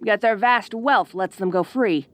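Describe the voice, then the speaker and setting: A woman playing a haughty, bossy ice queen in an audio roleplay, speaking with imperious, deliberate phrasing. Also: mid-range voice, close to an everyday voice. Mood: cold, reflective. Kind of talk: audio roleplay addressed to the listener. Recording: roomy, echoing voice recording.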